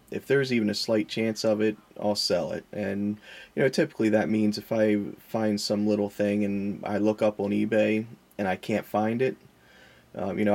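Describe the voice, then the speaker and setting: A man with a lowish, creaky voice that is noticeably rough and husky. He talks casually and without a script, like a vlogger who sells collectibles. His manner is folksy and self-deprecating, and he tends to ramble off topic. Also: a little mellow. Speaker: vlogger and online reseller of vintage collectibles. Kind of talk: casual, rambling unscripted vlog talk.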